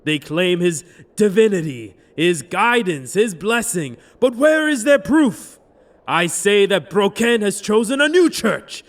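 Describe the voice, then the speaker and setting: A man's voice: high voice, animated, measured pace. A young man playing a noble-born zealot prophet in a fantasy audio drama, orating in an impassioned, declamatory manner. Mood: fervent, defiant. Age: young adult.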